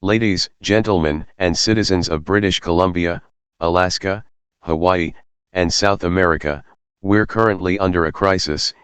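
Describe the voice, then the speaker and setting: A man's voice: low voice, normal speed, a bit bright, smooth, no room sound. A man reading a scripted mock emergency broadcast as a formal announcer.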